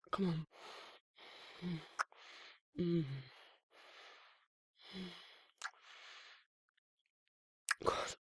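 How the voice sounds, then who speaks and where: An actor playing a shy, protective werewolf in an intimate romantic ASMR roleplay, with a breathy, husky, hesitant and stammering delivery. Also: mid-range voice, no echo, studio quality. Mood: flustered, longing. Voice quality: husky, breathy, gravelly, often whispering. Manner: hesitant, rambling, stammering, bashful. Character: shy, awkward, protective male werewolf, big and tender.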